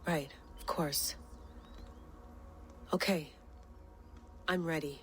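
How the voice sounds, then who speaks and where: An actress playing a driven, impatient modern woman who speaks briskly and with determination. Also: low-pitched, steady tone, a little hiss or hum. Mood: determined.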